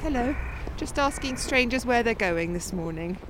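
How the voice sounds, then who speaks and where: A woman's voice: fairly high pitch, expressive, moderate pace. A woman podcast host chatting with strangers outdoors in a snowy park, laughing often as she asks questions. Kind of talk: street interview. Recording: outdoor ambient noise.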